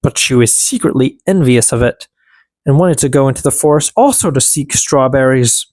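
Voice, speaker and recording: An audiobook narrator tells a fairy tale in an expressive, scripted style. The pitch is medium but moves with lively variation, and the recording is dry and close. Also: moderate pace, dry recording.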